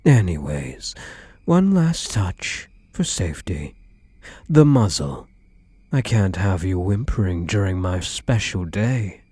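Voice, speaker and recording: A low, dark voice with a slightly husky grain belongs to a man acting a possessive yandere kidnapper on his wedding day. He doles out smug, mock-sweet endearments and quiet threats, swinging expressively in pitch. It is ASMR roleplay drama with a menacing, doting edge. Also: sing-song, no room sound, full character voice. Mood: gloating, possessive. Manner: slow, taunting, mock-tender endearments.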